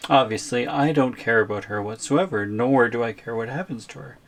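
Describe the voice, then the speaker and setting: A man's voice: mid-range voice, brisk, natural voice. A man playing a wary, placating captive boyfriend in an ASMR audio roleplay, with a hesitant, questioning, understated delivery. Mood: defensive.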